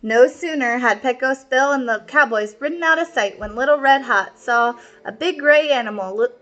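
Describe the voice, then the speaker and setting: A woman's voice: high-pitched, moderate pace, a bit bright, dull audio. A woman storyteller reading a picture book aloud for children, with casual asides.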